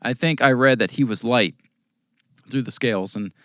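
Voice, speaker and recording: American man, hoarse, podcast host, casual rambling recap, mid pitch, dry close mic. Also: moderate pace, fairly bright tone, dry recording. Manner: rambling, conversational, lists results with fillers. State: losing his voice, coughing at times. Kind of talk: casual race recap talk.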